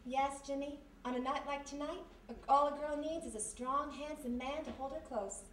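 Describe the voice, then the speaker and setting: An actress playing a sardonic, snappy, quick-thinking detective's gal Friday in an old-time radio mystery performed live. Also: female voice, high voice, steady tone, lightly acted. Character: wisecracking, suspicious, quick-thinking gal Friday, former vaudevillian. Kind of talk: live 1940s-style radio comedy-mystery acting. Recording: echoey room, distant mic, faint noise.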